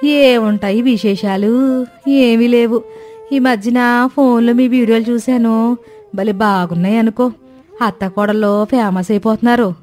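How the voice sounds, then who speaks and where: A woman's voice: a little high, steady tone, a little slow, a little mellow, faint music behind, natural voice. A woman voicing a chatty, grumbling older village neighbour in a cartoon moral story.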